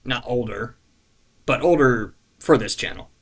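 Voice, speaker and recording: A man's voice: mid-range voice, unhurried, a bit bright. A man talking casually to camera in a rambling way, searching for words with lots of fillers, restarts and the odd swear. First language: not French. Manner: laid-back, self-deprecating, swears casually. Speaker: YouTuber.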